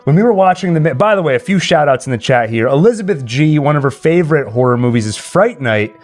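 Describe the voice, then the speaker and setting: A man's voice: on the high side, expressive, quick pace. A man hosting a live stream, chatting casually and enthusiastically about films and reading out viewer comments. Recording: music playing behind the voice. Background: music.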